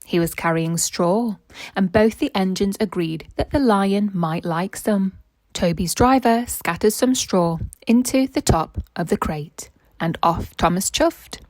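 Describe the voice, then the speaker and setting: A woman's voice: mid-range voice, a little slow, darkish tone, dry recording, poor audio. A woman reading a children's story aloud as narrator and quiz host.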